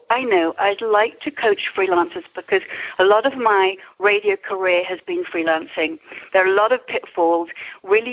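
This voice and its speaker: A middle-aged woman with an English accent, telling her story in a reflective, articulate, conversational way as an interview guest. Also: mid-range voice. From England. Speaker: interview guest, creativity coach. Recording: muffled lo-fi call audio.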